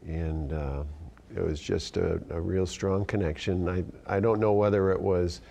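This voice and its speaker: Deep gravelly man in his fifties. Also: fairly even intonation. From Northern New York. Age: 50s. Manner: soft-spoken, reflective, pauses often. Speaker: music educator guest. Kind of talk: relaxed interview answers.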